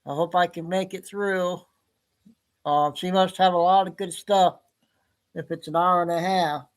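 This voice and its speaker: A male host chatting casually with viewers in a relaxed, offhand way. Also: sits high, a little slow.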